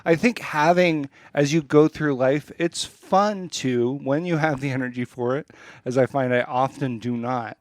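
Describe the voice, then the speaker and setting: A man's voice: medium pitch, a little slow, dry recording, studio quality. A man in casual conversation as a podcast co-host, reflective and feeling his way toward his phrasing. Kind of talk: casual chat.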